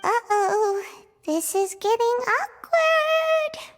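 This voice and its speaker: Tiny squeaky, cheeky sidekick. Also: female voice, expressive, extremely slow, long reverb tail, faint music behind, heavily acted. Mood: uneasy. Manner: squeaky, snarky. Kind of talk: video game voice acting.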